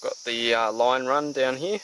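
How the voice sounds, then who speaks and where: A man, casually explaining a radio install step by step while working, with relaxed pauses. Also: mid-range voice, slight background noise, low-quality recording. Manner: relaxed, plain-spoken, frequent "uh" pauses. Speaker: DIY car install presenter. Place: outdoors beside and inside a vehicle.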